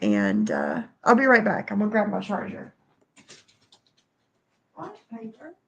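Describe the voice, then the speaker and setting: A woman's voice: medium pitch, huge pitch swings, unhurried, creaky, breathy edge, muffled recording. A middle-aged woman with a stuffy nose, chatting casually on a home livestream and greeting viewers by name. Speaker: livestream host. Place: bedroom at home, then kitchen.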